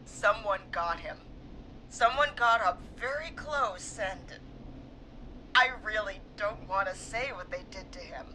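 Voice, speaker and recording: A woman's voice: mid-range voice, animated, a little slow, sharp tone, a little hiss or hum, rough recording. A woman playing a frightened but determined police dispatcher in a video game. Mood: shaken.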